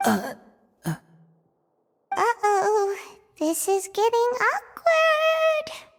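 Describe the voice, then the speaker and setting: A woman voicing a tiny, childlike fairy companion in a video game, squeaky, excitable and blunt. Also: very slow, full character voice. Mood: awkward. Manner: excitable, blunt, refers to herself by name.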